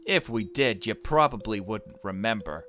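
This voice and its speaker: A man voicing a calm, cryptic, mysterious visitor with slow, measured speech in a visual novel. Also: fairly low pitch, soft background music.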